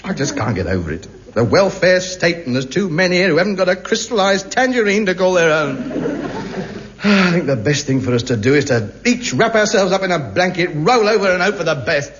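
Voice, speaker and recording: A man's voice: high-pitched, sing-song, fairly quick, fairly bright tone. A man playing a hungry, self-pitying pompous man in a 1950s radio comedy, with indignant theatrical delivery. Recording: noisy dull-toned vintage radio recording.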